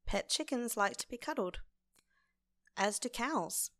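A woman hosting a casual solo podcast in an informal, conversational way, with a croaky, hoarse voice. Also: fairly high pitch, lively intonation, unhurried, fairly bright tone, no room sound, clean studio sound. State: lingering hoarseness after laryngitis. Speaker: podcast host.

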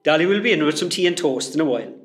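An actor with a West Cork Irish accent playing a cheeky, teasing young hospital worker in a comedy radio play. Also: male voice, a little high, animated, rapid-fire, close to an everyday voice. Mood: teasing, reassuring. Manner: teasing, jokey.

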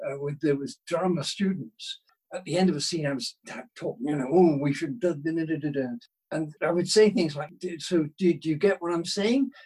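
Husky, higher-pitched British man chatting. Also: a little mellow, low-quality recording. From United Kingdom. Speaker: writer, interview guest. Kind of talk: casual conversational interview.

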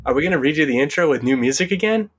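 A man co-hosting a podcast in casual conversation, with a husky, rough-edged voice. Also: a little high, expressive, rapid-fire. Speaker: podcast co-host. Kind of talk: casual chat.

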